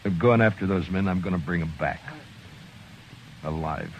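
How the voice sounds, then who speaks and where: An actor playing a grim, determined frontier marshal in a 1950s radio western, speaking quietly and tersely. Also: male voice, sits low, normal speed, noisy background. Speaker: marshal and narrator. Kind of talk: 1950s radio drama acting. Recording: dull lo-fi vintage radio recording.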